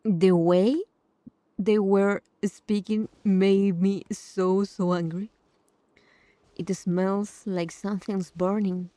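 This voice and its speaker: A woman's voice: mid-range voice, steady tone, slow pace, mellow, dry recording. A woman streamer giving casual, emotionally reactive commentary on game footage in broken phrases.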